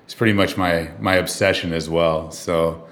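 A man with a very low voice talks through his life story as an interview guest. He is from Southern California, and his relaxed answers are full of ums and uhs. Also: moderate pace. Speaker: guest filmmaker.

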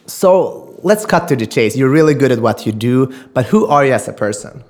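A man's voice: mid-range voice, lively intonation, normal speed, a little mellow. A man hosting an interview, reading a formal guest introduction and then asking questions with some repeated words. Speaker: interview host. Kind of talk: podcast interview.

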